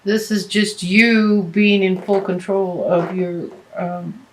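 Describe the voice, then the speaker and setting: A woman giving a tarot reading, losing her voice, talking in a halting way with many fillers and restarts. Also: medium pitch, flat delivery, slight background noise, low-quality recording. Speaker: tarot reader.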